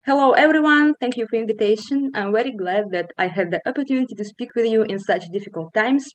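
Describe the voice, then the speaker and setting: A young woman from Russia, a guest on a podcast interview, speaking in an earnest, measured way with some fillers. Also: on the high side, no echo. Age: young adult.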